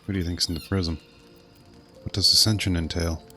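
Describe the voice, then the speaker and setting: A man's voice: sits low, fairly even intonation, brisk, sharp tone, soft background music. A man giving casual, offhand live commentary on a video game in short joking asides. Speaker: game streamer.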